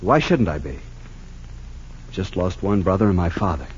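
An actor playing a touchy, arrogant American son of a wealthy family, curt and combative, in an old radio drama. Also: male voice, sits low, very animated, normal speed, a little hiss or hum. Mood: indignant. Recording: muffled 1940s-50s radio recording.

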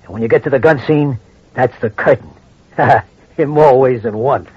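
An actor playing a smooth, sinister man plotting a murder in a 1940s radio drama. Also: mid-range voice, moderate pace, darkish tone. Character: smooth middle-aged criminal plotter Phil. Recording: dull old radio transcription.

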